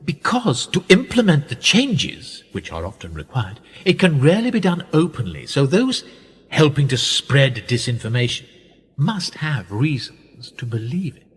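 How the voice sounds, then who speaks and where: Male actor as pompous, manipulative British establishment man for radio drama; measured condescension, very lively pitch, reverberant sound. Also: slightly rough, exaggerated voice. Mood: lecturing. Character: smug, wealthy upper-class male power broker, condescending and manipulative. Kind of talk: radio play acting. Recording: reverberant hall-like echo.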